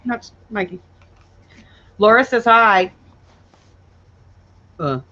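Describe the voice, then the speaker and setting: Brief: stuffed-up woman in her forties, playful co-host with a lively voice, on muffled lo-fi stream audio. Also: medium pitch, slow pace. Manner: teasing and playful. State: congested, stuffed-up nose. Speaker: co-host of a live chat show. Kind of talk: casual livestream chat.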